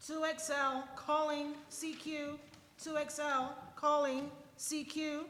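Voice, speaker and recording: A woman's voice: sits high, little pitch movement, slow pace, fairly bright tone, slight background noise. A woman playing a lonely, desperate radio operator calling out in a live radio drama. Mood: flat, searching. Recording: reverberant church hall.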